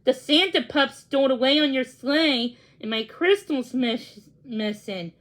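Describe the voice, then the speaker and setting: A woman voicing an eager man on a rescue mission in a storybook read-along, with a brisk, upbeat delivery. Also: high voice, a bit bright.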